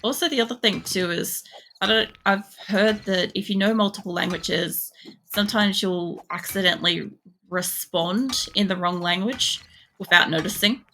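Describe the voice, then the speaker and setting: A young woman streaming a game and chatting casually to her viewers, mostly flat in tone, rambling with lots of "like" and the odd swear. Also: normal speed, creaky, a little hiss or hum. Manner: mostly monotone, jumps much higher when excited.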